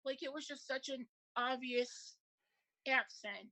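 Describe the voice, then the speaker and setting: Chatty woman co-host with a high, bright voice for a relaxed panel aftershow. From Michigan, USA. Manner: chatty, filler-heavy, quick to laugh. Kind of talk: casual panel chat.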